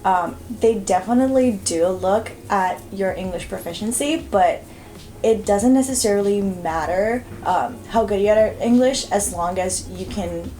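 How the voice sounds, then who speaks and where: A woman's voice: mid-range voice, normal speed, dark tone, soft background music, poor audio. A young woman in her twenties from Romania, a native Romanian speaker, answering interview questions in a chatty, hesitant way. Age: 20s. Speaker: student guest.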